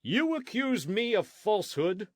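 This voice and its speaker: A deep-voiced old friar in his sixties speaks with stern, fearless defiance, as a man puts him on. The sound is dry and close. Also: animated, measured pace, no room sound. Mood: defiant. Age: 60s.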